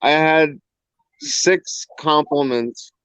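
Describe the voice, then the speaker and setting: A man's voice: on the high side, very slow, fairly bright tone, clean tone, dry recording. A man in his forties chatting in an easygoing way as a guest on a live stream. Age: 40s. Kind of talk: casual chat. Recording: dull, dry call audio.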